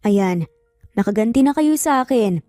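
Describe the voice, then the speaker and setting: A woman's voice: mid-range voice, lively intonation, normal speed, warm tone, natural voice. A woman voicing an affectionate mother of young children in a story, playfully scolding and indulgent. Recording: faint music, roomy echo.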